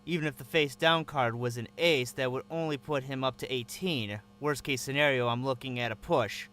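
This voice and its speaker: A man's voice: mid-range voice, brisk, pushed and bright. A man narrating in first person as a captive, with a tense, wary inner voice.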